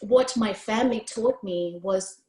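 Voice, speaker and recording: A woman's voice: mid-range voice, unhurried. Kenyan woman telling her story. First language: Swahili. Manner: expressive, gestures with hands while speaking. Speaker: guest speaker. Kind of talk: storytelling interview answer. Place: room at home, indoors. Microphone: video call. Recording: roomy video call audio.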